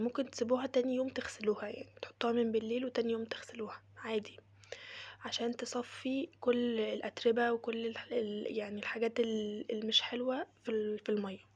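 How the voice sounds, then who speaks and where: Breathy whispering Egyptian woman with a cold. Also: a little high. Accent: Egyptian Arabic. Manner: soft, mostly whispered, chatty asides. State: has a cold, voice changed. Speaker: crochet maker demonstrating cleaning. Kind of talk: casual how-to tutorial.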